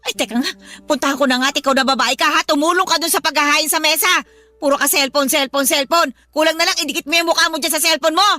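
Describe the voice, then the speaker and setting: A woman's voice: high voice, fairly even intonation, fast talker, sharp tone, no room sound, faint music behind. A woman playing a cranky, blunt grandmother who scolds her son's partner in a radio drama. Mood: flustered, nagging. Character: cranky, hostile mother-in-law, a grandmother, scolding at home.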